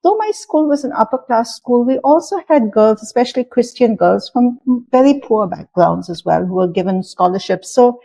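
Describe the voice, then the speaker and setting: A woman's voice: a little high, animated, moderate pace, vocal fry, few pauses, mellow, low-quality recording. A woman in her sixties from India, speaking as an interview guest in a reflective, thoughtful way with frequent fillers. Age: 60s. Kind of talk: interview conversation.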